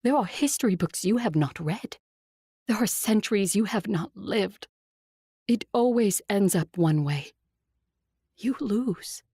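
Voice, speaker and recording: An actress playing a proud, seductive vampire ruler in an audio drama, with a cool, controlled, imperious manner. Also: female voice, medium pitch, expressive, a little slow, pauses often, mellow, slightly rough, no room sound, clean studio sound. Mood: scornful. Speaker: voice actor.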